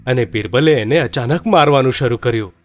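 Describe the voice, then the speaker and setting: A man's voice: medium pitch, brisk, faint music behind. Man narrating a children's story.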